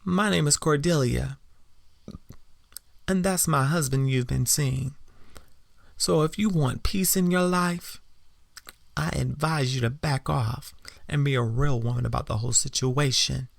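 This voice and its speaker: A man voicing a territorial wife who confronts her husband's mistress in a deliberate, threatening manner. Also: medium pitch, creaky, no room sound, clean studio sound. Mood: menacing.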